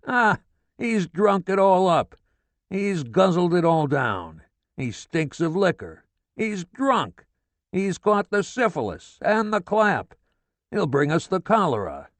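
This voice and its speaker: A man voicing a furious, foul-mouthed middle-aged father who rants and shouts curses at his family. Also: a little high, no echo, pitched above the performer's own voice.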